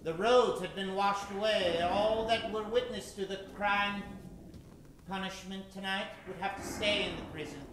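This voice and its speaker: A man narrating an old time radio drama as host, theatrical and suspenseful with halting phrasing. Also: high-pitched, fairly even intonation. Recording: very echoey hall, clear background noise.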